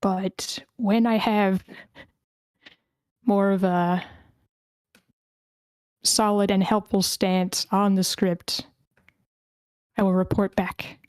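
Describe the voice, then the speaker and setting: A woman with a smooth, clear voice hosts an online meeting in a relaxed, informal way. Her tone is mellow and the sound is dry and close. She is a little hesitant as she talks, and accommodating with the people taking part. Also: medium pitch, drawn-out words, pauses often, no echo. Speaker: host of an online screenplay table read. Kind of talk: casual meeting hosting. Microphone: close microphone on an online voice call.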